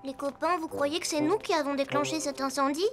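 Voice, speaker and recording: A woman's voice: sits high, steady tone, fairly quick, very noisy, close to an everyday voice. A woman voicing a worried, conscientious youngster in a French children's cartoon dub. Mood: guilty, worried. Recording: loud music behind.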